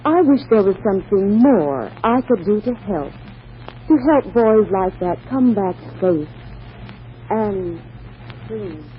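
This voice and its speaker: An actress playing an earnest, wistful American housewife in a sincere 1940s radio advertisement drama. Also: female voice, medium pitch, expressive, slow pace. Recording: tinny, noisy 1940s radio recording.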